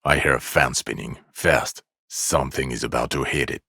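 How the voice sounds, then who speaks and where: An extremely low, creaky male voice for a middle-aged police detective in a video game. He is jaded and blunt, dripping with weary sarcasm. The sound is close and dry, with no room on it. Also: fairly even intonation, normal speed, bright, forceful tone, dry recording, exaggerated voice. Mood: grave.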